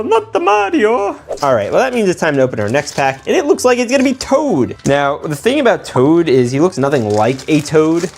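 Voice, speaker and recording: Animated, playful man hosting a toy build. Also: a little high. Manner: playful, slangy, exclamatory. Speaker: toy-building video host. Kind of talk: excitable casual commentary while building toys.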